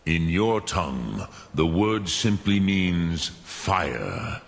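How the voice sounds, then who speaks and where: An ancient, wise dragon teacher in a fantasy game. The voice is extremely deep and gravelly, unfolding very slowly in ponderous, contemplative musings. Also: male voice, very animated, full character voice. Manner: ponderous, musing, pausing, sprinkles in dragon-tongue words. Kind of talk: fantasy video game acting.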